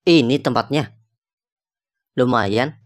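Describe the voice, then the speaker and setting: A man's voice: medium pitch, takes it slowly, background noise, lightly acted. A man voicing a grumbling, impatient young travel buddy in a cartoon, with blunt, slangy Jakarta Indonesian. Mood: tired, impatient. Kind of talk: colloquial cartoon dialogue.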